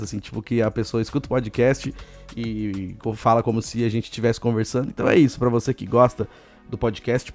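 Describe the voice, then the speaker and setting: A man with a Brazilian accent hosting a casual solo podcast, hoarse and chatty, giving listeners shout-outs. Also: medium pitch. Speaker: podcast host.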